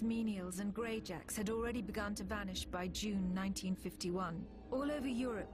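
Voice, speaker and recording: A woman playing a composed military officer who narrates a war story for a video game, measured and formal. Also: medium pitch, close to an everyday voice. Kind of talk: scripted narration.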